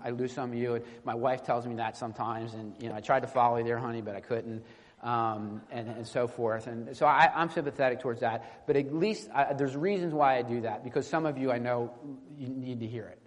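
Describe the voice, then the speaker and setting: Man, preacher, informal sermon, conversational and halting delivery, medium pitch, long hall reverb. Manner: conversational, halting, with repeated tag questions. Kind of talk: informal sermon with teaching asides.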